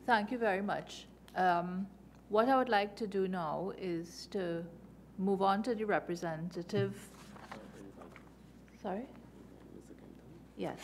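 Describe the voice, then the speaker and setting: A woman chairing a parliamentary committee hearing, formal, brisk and courteous. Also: medium pitch, a little hiss or hum.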